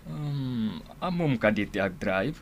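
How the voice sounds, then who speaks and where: A man acting a kindly gentleman employer in an Ilocano radio drama, measured and courteous, with an Ilocano accent. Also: medium pitch, animated. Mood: calm, genial. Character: kindly gentleman employer offering a driving job. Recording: loud vehicle-like background noise.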